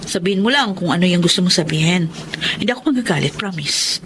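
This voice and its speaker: A woman's voice: on the low side, animated, fast, close to an everyday voice. Actress playing a domineering young woman boss, noisy recording. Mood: insistent, coaxing. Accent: Filipino. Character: domineering young female boss, lonely and regretful. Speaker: actor in a drama. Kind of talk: emotional Filipino drama acting.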